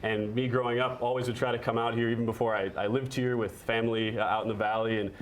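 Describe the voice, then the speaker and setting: A man's voice: medium pitch, flat delivery, fast, slight background noise, low-quality recording. A young man, a radio play-by-play announcer, speaking conversationally as a guest in a studio interview. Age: young adult.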